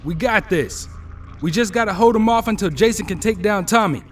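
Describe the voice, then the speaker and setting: A man's voice: high voice, moderate pace, distant mic, faint music behind, natural voice. A man playing a battered but determined young hero fighter in an audio drama.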